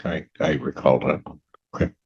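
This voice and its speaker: An American man with a husky voice, speaking as a judge from the bench in court. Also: extremely low pitch, steady tone, normal speed. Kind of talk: remarks from the bench. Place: indoor courtroom. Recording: muffled courtroom audio.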